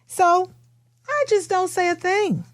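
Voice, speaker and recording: A woman voicing a quiet, matter-of-fact young kindergarten girl who tells her own storybook tale in simple, expressive phrases. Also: very high-pitched, slow pace, no echo, clean studio sound, voice pushed up. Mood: earnest, matter-of-fact.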